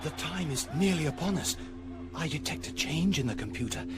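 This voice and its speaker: A man's voice: a little high, lively intonation, fairly quick, over loud music, close to an everyday voice. Man as a solemn, anxious computer keeper. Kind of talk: radio comedy drama acting.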